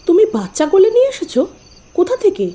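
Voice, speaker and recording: A woman playing a caring, easily startled village wife in an expressive cartoon story style. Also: very high voice, fairly quick, full character voice. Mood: surprised. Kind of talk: dramatic cartoon story acting.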